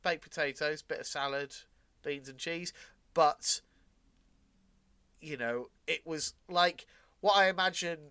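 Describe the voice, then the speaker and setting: Male co-host, medium pitch, very bright voice, dry close recording, hesitant casual delivery. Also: takes it slowly, long pauses, clear, resonant tone, dry recording. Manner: hesitant, self-deprecating, many fillers. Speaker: podcast co-host. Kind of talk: casual chat.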